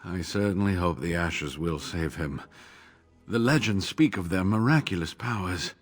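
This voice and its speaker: An actor playing an earnest, devout male scholar in a video game, speaking in a measured, formal way with a husky voice. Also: low-pitched, lively intonation, faint music behind. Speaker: game character.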